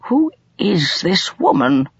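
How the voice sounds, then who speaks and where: A woman's voice: medium pitch, big pitch swings, normal speed, husky, dry recording, highs cut off, pitched above the performer's own voice. A woman voicing a practical, firm older uncle in an audiobook novel, speaking earnestly and with emphasis. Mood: shaken, urgent.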